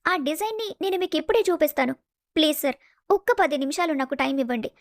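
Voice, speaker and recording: Casting: woman to play earnest young office engineer in family drama, very high voice, dry studio sound. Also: lively intonation, fast, no echo. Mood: urgent, determined. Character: earnest young female engineer, pleading yet determined. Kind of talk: dramatised audio story acting.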